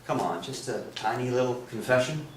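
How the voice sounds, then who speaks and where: A man's voice: mid-range voice, a little fast, lightly acted. An actor playing a nosy, lecherous priest in a staged play reading, coaxing and insinuating as he goes. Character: nosy, wheedling, lecherous priest hungry for confessions. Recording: roomy, distant stage recording, faint noise.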